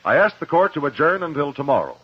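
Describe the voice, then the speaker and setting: A man playing a sharp, probing courtroom investigator in 1950s radio drama, formal and insistent. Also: a little high, very animated, fast talker, husky. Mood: measured, formal. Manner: insistent, formal cross-examining. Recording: muffled old radio recording.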